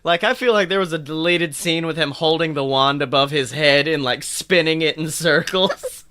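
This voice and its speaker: A man co-hosting a podcast, casually bantering and swearing, with jokey, animated reactions. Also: on the high side, normal speed, clear, resonant tone, dry recording, clean studio sound. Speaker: podcast co-host. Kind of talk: casual banter and mocking fan fiction read-aloud.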